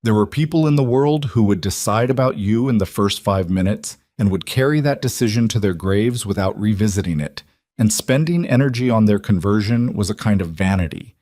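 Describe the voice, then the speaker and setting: A man reading a dramatic western story as scripted narration, measured and deliberate in his delivery. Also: fairly low pitch, animated, darkish tone, no room sound, clean studio sound. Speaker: audiobook-style story narrator.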